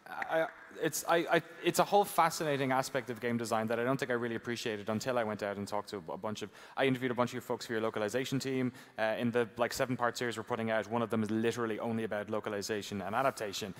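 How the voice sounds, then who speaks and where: A man moderating a convention panel, talking quickly and with enthusiasm. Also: medium pitch, fairly even intonation. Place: large convention hall. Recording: reverberant hall, crowd faintly behind.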